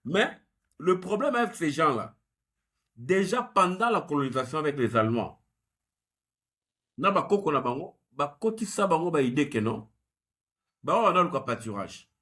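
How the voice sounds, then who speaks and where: A man, explaining history in a measured, analytical interview answer. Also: a little high, sing-song, pushed and bright. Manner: measured and explanatory, mixing French with Lingala. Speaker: guest researcher.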